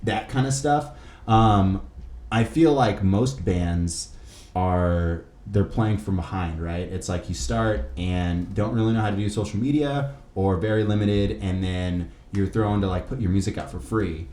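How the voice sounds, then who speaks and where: A man's voice: low voice, unhurried, mellow. A man hosting a podcast interview in casual, rambling, slangy talk peppered with "like". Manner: rambling, slangy, heavy use of "like". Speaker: podcast host interviewing a band. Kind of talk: casual chat. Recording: echoey room with faint background noise.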